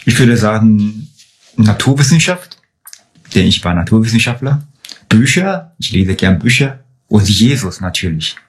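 A man's voice: fairly low pitch, very animated, a little slow. A man from China, speaking German with a Chinese accent as a non-native speaker, answering interview questions in a thoughtful, reflective way. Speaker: podcast guest.

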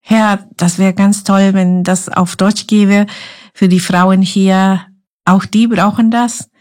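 Woman, earnest podcast guest, mid pitch. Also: steady tone, takes it slowly, a little mellow. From Guatemala. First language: Spanish. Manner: earnest, searching for German words. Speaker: ministry guest. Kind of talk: podcast interview.

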